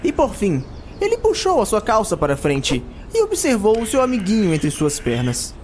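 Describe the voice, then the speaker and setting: A man's voice: high voice, huge pitch swings, normal speed, slight background noise. A man narrating a scripted fantasy audio drama as a dramatic storyteller. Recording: loud music behind the narration.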